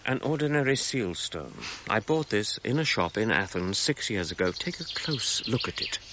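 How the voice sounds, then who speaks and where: An actor playing an eager, self-assured gentleman archaeologist, explaining keenly and emphatically in a radio drama. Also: on the low side, very animated, normal speed. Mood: intrigued, eager. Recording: muffled, faint outdoor ambience noise.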